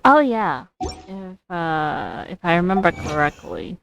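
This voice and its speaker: A woman livestreaming a video game, thinking aloud casually in short, halting phrases. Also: lowish voice, sing-song, gravelly, soft background music. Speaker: game streamer. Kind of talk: casual livestream gameplay commentary.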